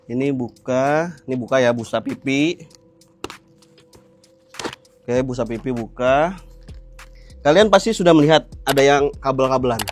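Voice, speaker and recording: A man's voice: medium pitch, a little slow, pauses often, soft background music. A young adult man with a Jakarta Indonesian accent hosting a casual gear tutorial, explaining in colloquial language and checking in with viewers. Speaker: motovlog host.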